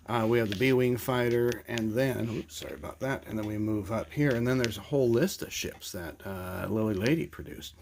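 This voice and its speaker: A man's voice: mid-range voice, slight background noise. A middle-aged man with a stuffy, congested voice, casually showing his collection and rambling with fillers and restarts. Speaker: toy collector presenting. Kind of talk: casual show-and-tell.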